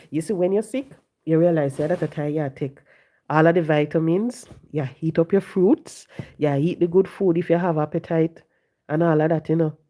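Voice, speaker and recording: A woman, hoarse and congested from the flu, talking on a casual live stream, preaching and repeating her points to viewers from home. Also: low-pitched, dark tone. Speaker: livestream host. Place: home, sitting.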